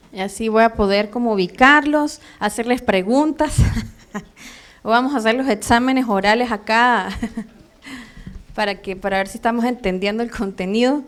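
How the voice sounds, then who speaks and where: A Venezuelan woman with a Venezuelan accent teaching a church training class live, chatty and hesitant with many fillers and soft laughs. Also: a little high, a little slow, long pauses. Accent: Venezuelan Spanish. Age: adult, has a son. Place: church meeting room.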